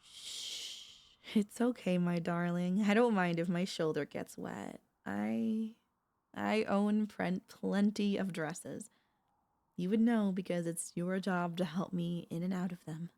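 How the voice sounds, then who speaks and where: A woman playing a possessive, doting royal vampire mistress in an intimate ASMR audio roleplay, speaking in a tender, teasing, halting way with a creaky voice. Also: fairly low pitch, steady tone, darkish tone. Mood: soothing. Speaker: voice actor and vtuber.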